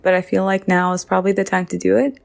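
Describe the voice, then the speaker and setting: A woman's voice: medium pitch, level tone, fast, vocal fry. A woman giving casual spoken commentary as a listener, in a reflective, personal way. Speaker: podcast listener giving episode opinions.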